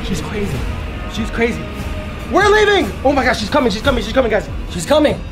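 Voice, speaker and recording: Frantic high-pitched young man. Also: a little fast, music in the background. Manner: frantic, repeats himself in excited bursts. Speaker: vlogger. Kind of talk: staged horror vlog, reacting on camera. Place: inside a house, moving about. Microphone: handheld camera close by.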